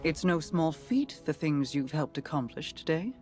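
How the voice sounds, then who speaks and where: A woman playing a regal, composed queen in a video game, speaking in a measured, formal, guarded and grave manner. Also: low-pitched, animated, music in the background. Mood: grave, reflective. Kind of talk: scripted video game monologue.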